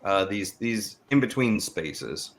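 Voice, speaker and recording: Podcast host guy, kinda low voice, chatty interview style, bit halting getting questions out. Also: animated. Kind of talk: conversational interviewing.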